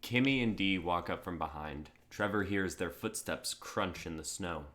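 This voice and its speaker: A man's voice: sits low, normal speed, fairly bright tone. A man chatting casually and reading script narration on an online stream. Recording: clearly noisy mic with keyboard clicks.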